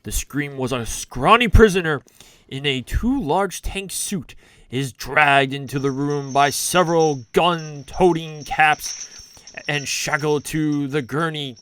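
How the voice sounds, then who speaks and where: A man narrating a campy retro serial in a theatrical, deliberate, scripted style. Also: a little high, fairly bright tone, slight background noise.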